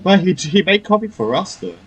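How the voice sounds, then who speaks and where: A woman's voice: lowish voice, lively intonation, brisk, creaky, noisy background. A woman giving casual gameplay commentary, musing aloud in short, hesitant remarks. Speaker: gamer commenting on a playthrough.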